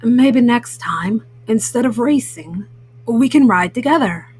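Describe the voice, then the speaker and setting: A woman's voice: fairly high pitch, animated, a little slow, a little mellow, a little hiss or hum. A woman voicing an easygoing, kindly male cat in a children's story, with a laid-back, reassuring manner. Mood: easygoing, friendly.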